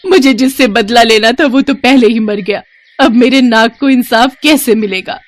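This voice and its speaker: A woman's voice: medium pitch, expressive, moderate pace, lightly acted. A woman voicing a grieving, vengeful female snake shapeshifter in a storybook tale, dramatic and emotional. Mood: anguished.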